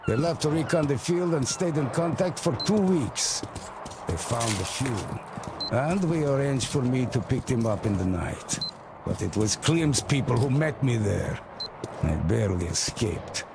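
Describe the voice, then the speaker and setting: A man playing a weary, principled submarine captain in a video game, talking in a measured, firm, explanatory way. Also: mid-range voice, lively intonation, noisy background. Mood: welcoming, reflective. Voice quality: gravelly, creaky. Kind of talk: video game cutscene dialogue.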